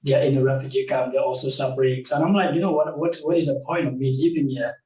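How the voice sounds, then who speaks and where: A young man from South Sudan, a game company founder, talking as an interview guest in a halting way, with many fillers and restarts. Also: mid-range voice, steady tone, darkish tone, reverberant, far from the mic, poor audio. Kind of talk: casual interview conversation.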